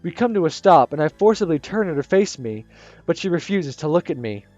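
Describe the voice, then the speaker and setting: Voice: medium pitch, sing-song, a little fast, a little mellow, dry recording, highs cut off, faint music behind. A gaming channel host narrating a visual novel aloud, stumbling and restarting as they read.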